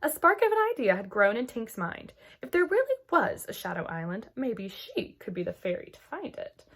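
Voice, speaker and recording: A woman reading a children's story aloud expressively, with dramatic emphasis and hushed moments. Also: fairly high pitch, sing-song, moderate pace, slightly rough. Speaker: children's book read-aloud host.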